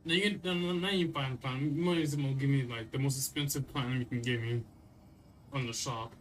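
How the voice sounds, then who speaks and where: A man's voice: medium pitch, steady tone, fast. A young man in a casual gaming reaction chat, opinionated, full of slang and swearing.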